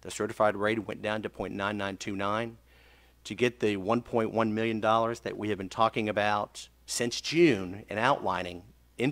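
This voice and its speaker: A man's voice: fairly low pitch, creaky, fairly bright tone. A man speaking as a city official, giving formal remarks at a public meeting into a desk microphone. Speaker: city manager. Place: council chamber.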